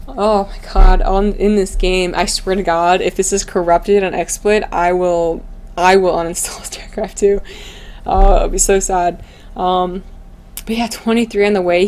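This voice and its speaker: A woman doing live, casual esports commentary in an excitable, slangy way, her voice a little tired from talking. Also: mid-range voice. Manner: gushing, excitable, slangy and informal. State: voice tiring, starting to go. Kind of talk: live video game commentary, casual and chatty.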